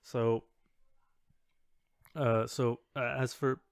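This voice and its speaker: A man's voice: medium pitch, flat delivery, extremely slow, smooth, dry recording. A man co-hosting a podcast, chatting casually and reading posts aloud in a dry, hesitant, skeptical way. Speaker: podcast co-host.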